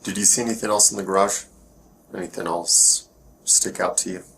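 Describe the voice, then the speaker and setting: A man working as a police detective, asking questions informally in a low-key, reassuring way. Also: fairly even intonation, a little fast, creaky, a bit bright. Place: police questioning, recorded on bodycam. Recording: noisy police bodycam audio.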